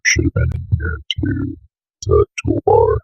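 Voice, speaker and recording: A young man with a bit of a cold giving a casual software walkthrough in an offhand, mumbling way. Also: extremely high pitch, animated, a little slow, muffled recording. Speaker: software tutorial presenter. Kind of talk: casual screen-recorded walkthrough. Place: indoor room at a computer desk.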